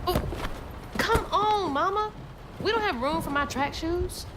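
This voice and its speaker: A woman's voice: very high-pitched, unhurried, background noise. An actress playing a headstrong, sentimental young woman with a Southern US accent in a game cutscene, casual and sardonic. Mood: frustrated, worried. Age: young adult. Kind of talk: naturalistic game cutscene acting.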